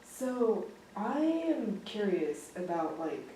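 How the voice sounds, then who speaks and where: A young woman hosting a casual campus interview, chatty and quick to giggle, with many fillers. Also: medium pitch, very animated, dark tone, slight background noise. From grew up in Japan. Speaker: host. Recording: echoey room, mic at a distance.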